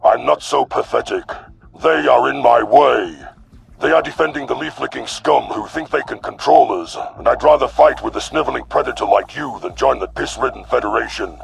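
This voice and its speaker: A man voicing an arrogant, contemptuous alien predator warlord in a sci-fi audio drama, with a gravelly growl and a boastful, sneering manner. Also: medium pitch, normal speed, crowd noise, pitched below the performer's own voice, low-quality recording.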